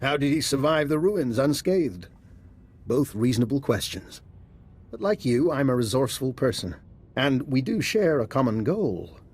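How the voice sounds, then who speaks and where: An actor playing a resourceful, composed doctor and schemer in a video game, speaking in a measured, persuasive way. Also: male voice, medium pitch, very animated, a little mellow, slightly rough. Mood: calm, persuasive. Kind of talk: video game cutscene acting.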